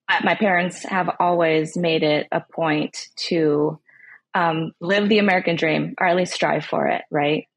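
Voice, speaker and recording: A woman in her thirties from Southern California, a podcast guest who speaks in a warm, reflective way with many ums. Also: fairly low pitch, breathy edge, no room sound, poor audio. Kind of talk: conversational interview.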